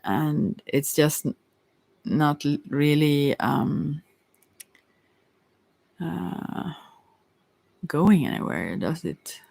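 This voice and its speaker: A woman's voice: deep voice, animated, very slow, gravelly, long pauses, darkish tone, no echo. Hesitant woman giving a close, dry ASMR reading. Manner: hesitant, stammering, often trailing off and rephrasing. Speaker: tarot reader. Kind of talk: intimate ASMR tarot reading, casual and rambling.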